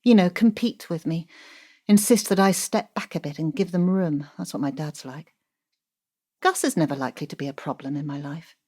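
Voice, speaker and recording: A woman's voice: low-pitched, moderate pace, dark tone. An actress playing a pragmatic, ambitious female student in an audio drama, dry and matter-of-fact. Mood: cool, guarded. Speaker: actor.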